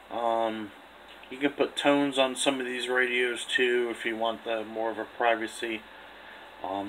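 A man's voice: medium pitch, bright, forceful tone, rough recording. A man chatting casually as he demonstrates and tests two-way radio gear indoors, speaking as a radio operator. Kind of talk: casual radio test chat. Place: indoor room beside a radio set. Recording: faint background noise.

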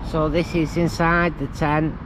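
Man over loud roadside noise. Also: fairly high pitch, level tone, unhurried. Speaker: camping vlogger. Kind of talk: casual vlog chat. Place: in and beside a small tent by a busy road. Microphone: camera at arm's length or propped nearby. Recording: noisy outdoor field recording, passing traffic. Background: traffic and outdoor ambience.

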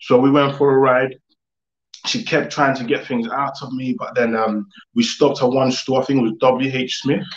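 A man's voice: medium pitch, little pitch movement, moderate pace. Young man on an echoey video call. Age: young adult. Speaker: guest, music producer. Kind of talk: casual interview storytelling. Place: living room, video call. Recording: echoey room, dull video-call audio.

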